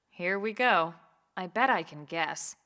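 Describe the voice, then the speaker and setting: A woman's voice: on the low side, animated, slow, exaggerated voice. A woman playing a cool, capable military team leader in a video game cutscene, composed, dry and matter-of-fact. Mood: dry, wry. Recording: very loud music behind the voice.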